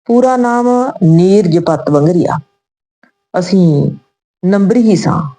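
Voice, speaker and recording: A woman's voice: on the low side, expressive, slow pace, mellow, full character voice. A woman voicing a wistful, regretful old retired soldier reminiscing in a told story. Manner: reminiscing, sentimental.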